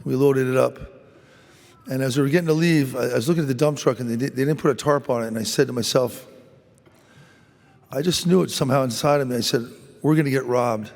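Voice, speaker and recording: A man from a mission team telling a story to a church congregation, halting and earnest, repeating words as he goes. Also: medium pitch, creaky, darkish tone. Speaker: mission worker speaking at Mass. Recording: church hall reverb.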